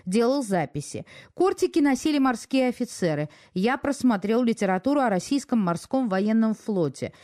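A woman introduces a radio drama in a measured, formal way. Her voice sits a little high, with a soft, mellow tone, as she reads from a script. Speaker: radio presenter introducing a play.

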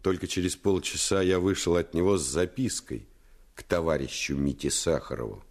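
A man playing a reflective storyteller who recalls his boyhood, narrating a radio play in measured, expressive phrases. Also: low-pitched.

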